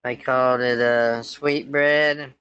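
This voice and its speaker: Clear-voiced young man rambling easily. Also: mid-range voice, steady tone, slow, fairly bright tone, dry recording, lo-fi sound. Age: 20s. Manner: rambling, hesitant, easygoing. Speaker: scambaiter posing as a son. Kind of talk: casual phone chat.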